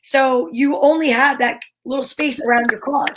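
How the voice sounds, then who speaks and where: A woman talk show host chatting casually, telling stories in an animated, rambling way. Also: high voice, moderate pace, noisy background. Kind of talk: casual chat.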